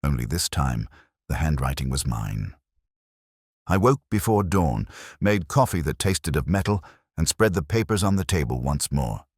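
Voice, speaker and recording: A man playing a brooding, erudite detective inspector, narrating his case in a measured, literary and wry manner. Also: very deep voice, very animated, a little mellow, husky, dry recording, studio quality, full character voice. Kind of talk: scripted first-person mystery narration.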